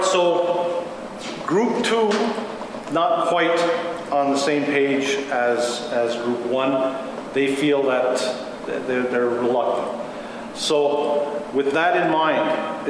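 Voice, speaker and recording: A man facilitating a community meeting, speaking haltingly as he searches for words. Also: mid-range voice. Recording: very echoey room, distant mic, noisy.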